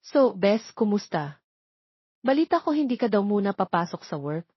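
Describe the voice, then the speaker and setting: A woman's voice: medium pitch, big pitch swings, moderate pace, slightly rough, no echo, highs cut off. A woman voicing an inquisitive, concerned young best friend in an audiobook, probing and a little sulky. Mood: concerned, prodding. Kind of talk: audiobook character dialogue.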